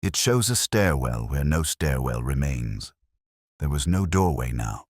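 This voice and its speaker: Very deep husky man narrating a mystery. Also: big pitch swings, no room sound, studio quality. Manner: measured, brooding, literary delivery. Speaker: narrator of a detective story. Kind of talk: scripted first-person mystery narration.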